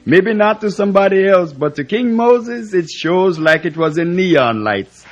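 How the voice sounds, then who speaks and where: A man's voice: sits high, expressive, a little slow, muffled recording. An actor playing a loyal, philosophical island man with a lilting Caribbean accent in an old radio drama. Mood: reassuring. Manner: lilting, non-standard grammar. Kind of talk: old-time radio drama acting with rhymed sung narration. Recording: vintage radio recording with guitar music.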